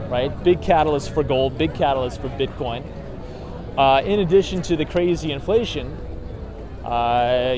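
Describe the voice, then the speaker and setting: A man with a hoarse, fading voice chatting casually about markets and sights while walking outdoors in a city, reading out viewer comments with lots of fillers and "right?" tags. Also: a little high. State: hoarse, losing his voice. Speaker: vlogger talking markets on a city walk. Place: outdoors on city streets and in a park. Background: traffic sounds.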